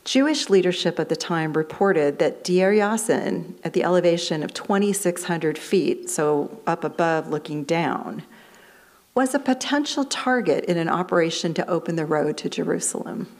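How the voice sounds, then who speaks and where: A woman in her fifties with an American accent, a minister giving a sermon at a lectern microphone in a fellowship hall, explaining history in a measured way. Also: fairly low pitch, darkish tone. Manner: measured, explanatory delivery reading from notes.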